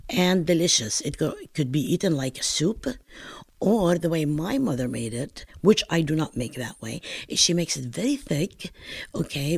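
Older palestinian lady being interviewed, northern palestinian accent, arabic first language, kinda halting storytelling, close dry sound. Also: low-pitched, husky, dry recording. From Palestine, later California. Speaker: interview guest, home cook. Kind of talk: conversational storytelling.

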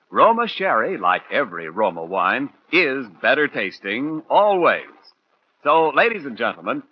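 A man's voice: mid-range voice, breathy edge. A man announcing a 1940s radio show and its sponsor in a polished, emphatic sales manner. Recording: muffled 1940s radio broadcast.

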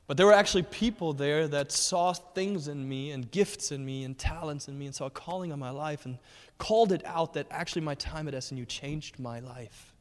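A man's voice: on the high side, moderate pace. Earnest man telling his story in a reverberant hall. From Germany. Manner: earnest storytelling with frequent fillers and restarts. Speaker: guest speaker. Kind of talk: personal testimony in a church talk.

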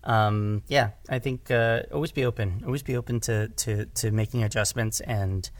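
A man talking casually on a podcast as a director, animated and halting, repeating words as he thinks. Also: fairly low pitch, no echo. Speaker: podcast speaker, audio drama director. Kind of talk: casual conversational discussion.

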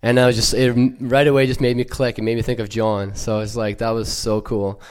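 A young man from Ukraine with no accent, giving a halting, earnest personal church testimony full of fillers and restarts. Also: mid-range voice, little pitch movement, a little mellow, clear, resonant tone.

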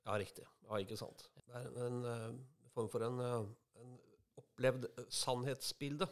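A man talks casually as co-host of a podcast. His voice sits at an average male pitch, and the style is informal and conversational. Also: fairly even intonation.

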